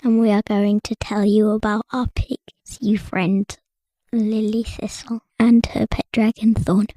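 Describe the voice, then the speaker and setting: A woman's voice: mid-range voice, flat delivery, measured pace, warm tone, no echo. A woman narrating a bedtime story as a podcast co-host.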